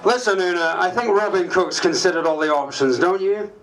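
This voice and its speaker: Give this staged diary reading a blunt, wry party whip, acted out by a man. His voice sits somewhat high as he leans on people to fall in line. There is clear noise and clicking behind him. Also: fairly quick. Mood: pressing, wry. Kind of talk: staged reading of political diaries.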